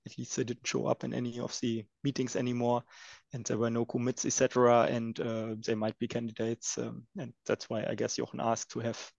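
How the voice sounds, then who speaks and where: A man's voice: medium pitch, completely flat delivery, brisk, vocal fry, a little mellow, dry recording. A man taking part in a casual work discussion on an online call, explaining things conversationally. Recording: dry, dull call audio.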